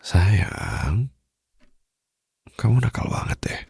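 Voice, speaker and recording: A man playing a smitten, suave mafia boss in an intimate ASMR romantic roleplay, teasing softly and possessively close to the microphone in a low, gravelly, breathy voice. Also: huge pitch swings, no echo, full character voice. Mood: flirtatious. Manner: slow, soft, flirtatious teasing with formal wording. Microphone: close studio microphone.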